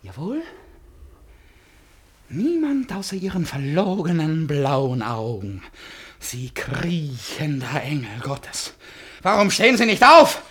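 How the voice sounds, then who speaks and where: A feverish, accusing man in a radio drama. His voice is highish and raspy, and it swings wildly from whisper to shout. The delivery is theatrical and mentally frayed. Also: sing-song, slow pace, exaggerated voice. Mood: scornful, accusing. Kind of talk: German radio drama acting.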